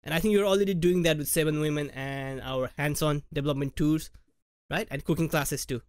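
A man's voice: on the high side, steady tone, fairly quick, clear, resonant tone, dry recording. A young man hosting a podcast from a home studio, thoughtful and searching for words with "like" and "right?". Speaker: podcast host. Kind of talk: podcast interview. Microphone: large studio mic with headphones.

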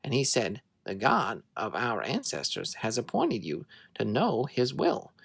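Expressive narrator reading a bible story to kids, husky voice, dry close sound, measured storytelling. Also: mid-range voice, no echo. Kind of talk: dramatic scripture reading for children.

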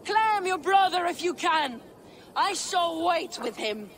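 A woman voicing a cold, taunting villain commander in a video game, measured, haughty and menacing. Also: extremely high pitch, expressive, creaky, a bit bright, exaggerated voice. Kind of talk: dramatic video game acting.

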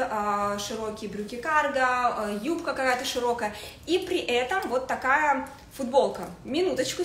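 Woman in her thirties, high voice, casual livestream teaching, searching pauses, echoey home room with faint noise. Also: fairly bright tone. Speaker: fashion stylist hosting a livestream. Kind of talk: casual livestream style lesson. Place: indoors in a home living room with a desk.

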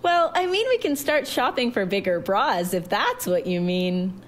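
A woman voicing a loving, gentle mother who speaks tenderly and encouragingly in a narrated story. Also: medium pitch, lively intonation, slow. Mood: caring. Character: gentle, loving, reassuring mother of a growing girl.

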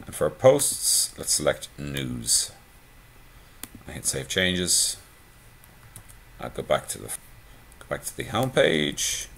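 Very deep-voiced guy walking through a website setup, chill and chatty, lots of ums, bit of faint hiss. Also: slow, vocal fry, pauses often. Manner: relaxed, conversational, many fillers. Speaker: theme tutorial presenter. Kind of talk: screencast walkthrough.

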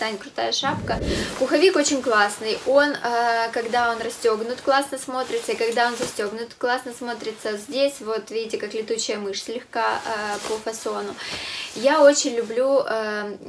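A woman's voice: on the high side, a little hiss or hum. A young woman vlogging casually to camera in a small room, with a stuffy nose from a cold, talking chattily with many hesitation sounds. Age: young adult. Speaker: fashion vlogger. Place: small room with a clothes rack, indoors.

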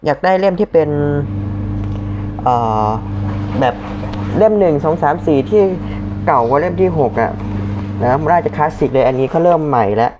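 A man's voice: on the high side, animated, moderate pace, pauses often. A man giving a casual unboxing review, thinking aloud informally as he handles things, as host of a vlog. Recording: dull lo-fi audio, loud background noise.

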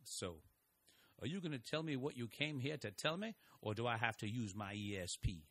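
A man voicing a calm, wry small-town husband with a dry, teasing manner. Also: mid-range voice, normal speed. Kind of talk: audio drama acting.

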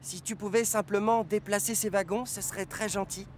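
A man's voice: high-pitched, fairly even intonation, a little fast, a little hiss or hum, natural voice. A man voicing a polite, hard-working steam engine in a children's cartoon, courteous and mild. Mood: hopeful. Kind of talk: dubbed children's cartoon acting.

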